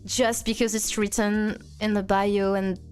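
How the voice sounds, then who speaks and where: A woman's voice: medium pitch, little pitch movement, unhurried, clear, resonant tone, soft background music. A young woman in her twenties from France with a French accent, chatting playfully on a live stream and answering chat. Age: 20s. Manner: playful, reads chat aloud, frequent laughter.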